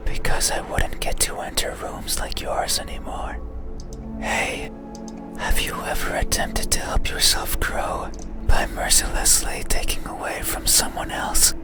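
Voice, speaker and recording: A man playing an eerie midnight monster in a horror game, speaking poetically in a breathy whisper. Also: over loud music, lightly acted. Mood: sorrowful, creepy. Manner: slow, deliberate, poetic. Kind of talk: horror visual novel monologue.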